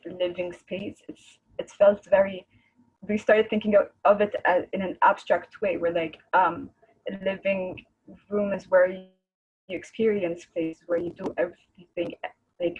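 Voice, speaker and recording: A woman with a low, breathy voice chats on a video call. She rambles and searches for words, laughing easily as she goes. The call audio sounds muffled and dull. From Egypt. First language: Arabic. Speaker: guest artist. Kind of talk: casual video call chat.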